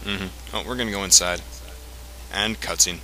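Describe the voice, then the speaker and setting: A man's voice: sits low, fairly even intonation, moderate pace, sharp tone, rough recording. A man giving casual, offhand commentary as host of a video game playthrough. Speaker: let's play host. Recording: faint background noise.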